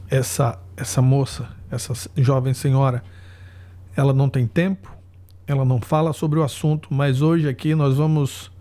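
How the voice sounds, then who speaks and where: A Brazilian man hosting a podcast interview, talking in an earnest, halting, apologetic way. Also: fairly high pitch, warm tone. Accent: Brazilian Portuguese. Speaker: podcast host. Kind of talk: emotional interview.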